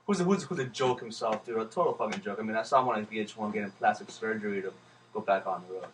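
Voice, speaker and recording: A man's voice: fairly low pitch, fast talker. A man co-hosting a podcast, teasing and swearing casually with lots of fillers. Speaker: podcast co-host. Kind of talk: casual banter. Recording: distant mic with faint noise.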